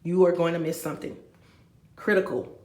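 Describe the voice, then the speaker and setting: A woman's voice: fairly low pitch, steady tone, a little fast, darkish tone, echoey room. A woman, rambling and talking straight to her viewers with many asides. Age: 50s. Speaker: vlogger. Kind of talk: rambling confessional vlog. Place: room with a bed, indoors.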